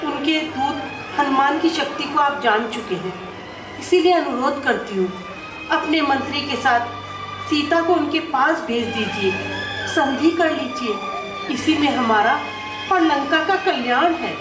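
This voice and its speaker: Actress as devoted pleading queen, high voice, formal mythological drama, far from mic, music underneath. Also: animated, measured pace. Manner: earnest, imploring, formal. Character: anxious, pleading demon queen, devoted wife. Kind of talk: mythological stage drama dialogue. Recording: echoey, distant playback with music.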